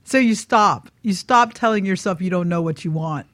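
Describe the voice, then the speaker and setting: A woman's voice: fairly low pitch, normal speed, no room sound. A woman hosting a radio talk in a casual motivational style, playful and often checking in with her listeners. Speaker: radio show host.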